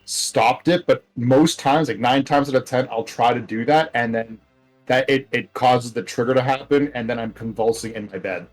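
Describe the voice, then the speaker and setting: Average pitched guy chatting on a gaming video, rambly and wry, bit of music behind. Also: normal speed, poor audio. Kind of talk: casual chat among friends over a game video.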